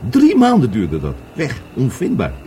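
A tipsy, stammering man, swinging pitch. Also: huge pitch swings, moderate pace, mellow, slight background noise. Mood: agitated, tipsy. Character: drunken, rambling, bitter divorced former business partner. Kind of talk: Dutch radio play acting.

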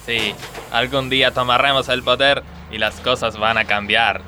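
An actor playing an earnest, cautious factory worker with an Argentine accent in a radio comedy sketch. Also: male voice, mid-range voice, fairly even intonation, moderate pace, pushed and bright, slight background noise.

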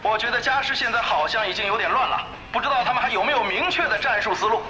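An actor playing an urgent esports commentator on a broadcast within a radio drama, projecting like an announcer. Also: male voice, very high-pitched, fast talker, bright, forceful tone, exaggerated voice. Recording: echoey broadcast sound over music.

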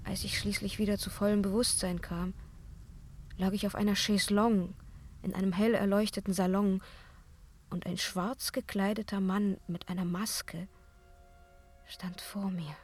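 Breathy, spellbound young opera singer, played by a woman. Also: mid-range voice, flat delivery, moderate pace, pauses often, a little mellow, faint music behind, lightly acted. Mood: dazed, remembering. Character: spellbound, frightened young opera singer recounting her ordeal. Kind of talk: breathy intimate radio drama narration.

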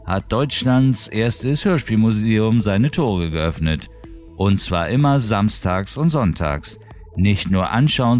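Lively low-voiced man reading news. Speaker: news presenter of an audio drama radio programme. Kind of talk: scripted news narration.

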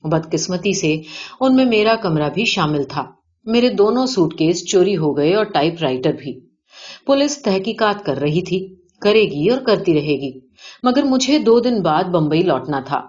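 Low-pitched woman narrating, dull recording. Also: expressive, a little mellow. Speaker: audiobook narrator. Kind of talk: scripted short story narration. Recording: dull, band-limited audio.

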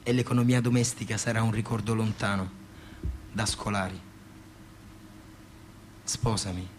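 A man's voice: fairly low pitch, steady tone, normal speed, slight background noise, close to an everyday voice. An actor playing a weary, devoted young working man in a poetic stage drama. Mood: solemn, tender. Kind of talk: poetic stage-theatre monologue.

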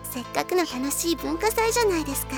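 A woman playing a gentle, caring older-sister girl in an anime audio drama, speaking softly and politely. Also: extremely high pitch, lively intonation, quick pace, creaky, full character voice. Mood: soothing. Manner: soft, polite, formal speech. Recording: music bed under the voice. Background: music.

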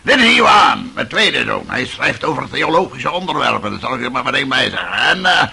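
A man's voice: mid-range voice, very animated, fairly quick, sharp tone, a little hiss or hum, heavily acted. An actor playing a wheedling, buffoonish old father in a radio play, theatrical and fawning in manner. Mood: mock-jovial. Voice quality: gravelly, creaky. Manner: theatrical, fawning, exclaiming mock-humility.

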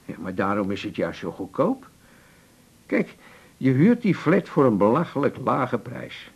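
An actor playing a jovial older man in a Dutch radio drama, folksy and persuasive, with a gravelly, husky voice. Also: medium pitch, sing-song, measured pace, muffled recording, full character voice. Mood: coaxing. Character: jovial, coaxing older man courting a companion.

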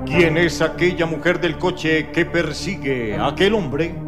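A man reading scripted narration for a radio drama in a suspenseful, deliberate, theatrical way. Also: fairly high pitch. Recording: music behind the voice.

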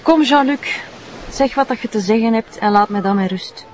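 An actress playing a bitter, defiant younger sister in a radio drama, blunt and scornful, with a Flemish accent. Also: female voice, a little high, normal speed, slight background noise, lightly acted.

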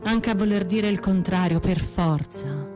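A woman's voice: lowish voice, unhurried, over music, lightly acted. An actress playing a troubled, sleepless Florentine woman in a radio monologue, with an intimate, brooding way of talking to herself in short broken phrases. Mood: wistful, pensive.

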